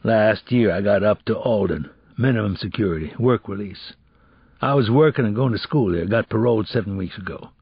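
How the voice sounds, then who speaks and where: A man playing a husky, weary ex-convict father. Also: lowish voice, fairly quick, dry recording, muffled recording. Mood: resigned, firm. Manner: street slang, pleading and plainspoken. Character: weary earnest male ex-convict, troubled father. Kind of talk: audiobook character dialogue.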